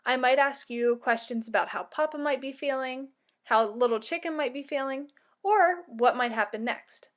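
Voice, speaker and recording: A woman's voice: high voice, normal speed. A woman narrating a children's read-aloud like a teacher, giving instructions and asking questions.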